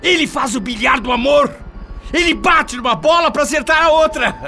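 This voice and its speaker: A man's voice: extremely high pitch, moderate pace, vocal fry, bright, forceful tone, soft background music, full character voice. An actor playing an indignant, scheming middle-aged Brazilian husband and father in a radio soap, theatrical and emphatic. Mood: outraged.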